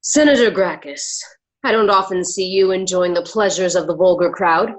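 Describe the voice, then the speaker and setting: A woman's voice: fairly low pitch, moderate pace, natural voice, rough recording. Woman as a haughty Roman senator. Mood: cool, haughty. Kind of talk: radio play acting.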